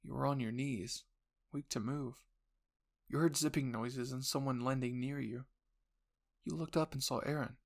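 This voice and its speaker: Creaky-voiced man narrating a story. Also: medium pitch, steady tone, normal speed, pauses often. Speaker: narrator and host of a fan fiction roleplay channel. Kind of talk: scripted second-person story narration.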